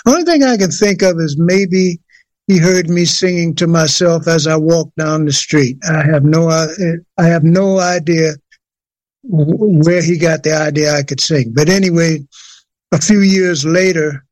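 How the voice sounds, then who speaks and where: An older man from New Jersey, a singer, telling his story in an interview with many pauses and restarts. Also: on the high side, a little mellow, no room sound. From USA, New Jersey. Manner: hesitant, many fillers and restarts. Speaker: guest singer.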